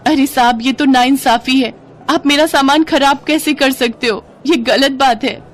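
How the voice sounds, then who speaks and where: A woman's voice: high-pitched, a little slow, slight background noise, pitched above the performer's own voice. A woman voicing a humble, hardworking poor street food seller in a story, earnest and respectful. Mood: worried, protesting. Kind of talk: storybook character acting.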